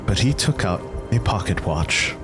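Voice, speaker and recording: A man's voice: lowish voice, lively intonation, measured pace. A man narrating an improvised tabletop game as game master, upbeat and affirming toward the players. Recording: music playing behind the voice. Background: clearly audible music.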